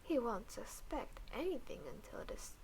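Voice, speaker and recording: A woman playing a scheming alien who poses as a sweet, awkward human girlfriend in an intimate roleplay audio, cool and halting, with murmured asides. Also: a little high, animated, vocal fry, a little mellow, a little hiss or hum, poor audio.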